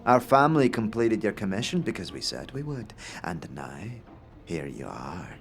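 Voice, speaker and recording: A man voicing a wild-eyed, eccentric, middle-aged inventor in a fantasy audio drama, speaking grandly and cryptically with theatrical pauses. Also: fairly low pitch, big pitch swings, faint music behind. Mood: solemn, cryptic.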